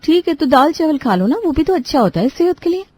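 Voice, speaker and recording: A woman's voice: high voice, sing-song, quick pace, darkish tone, no room sound, pitched above the performer's own voice. A woman voicing an overworked, resentful young daughter-in-law who grumbles and answers back in a cartoon story. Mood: defensive. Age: young adult. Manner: complaining, exasperated. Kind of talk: Hindi cartoon story dialogue.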